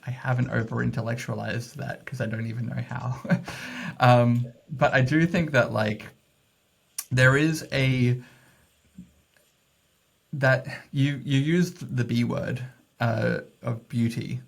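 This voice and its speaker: A man's voice: medium pitch, steady tone, slow, creaky, long pauses. A man talking as a podcast guest, loose and casual, full of fillers and laughs as he thinks aloud. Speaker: guest, messaging strategist. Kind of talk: casual interview chat.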